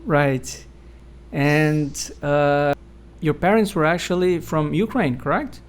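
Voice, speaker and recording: A man hosting an interview, politely introducing his guest and asking measured, confirming questions. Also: fairly high pitch, fairly even intonation, clean tone. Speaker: interview host.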